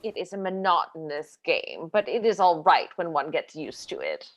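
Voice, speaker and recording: A vain older stage actress, played by a woman in a classic play read over a video call. Her delivery is theatrical and self-satisfied, with pitch that swoops about freely. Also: big pitch swings, moderate pace. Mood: fond, sociable. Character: vain, lively aging stage actress and mother.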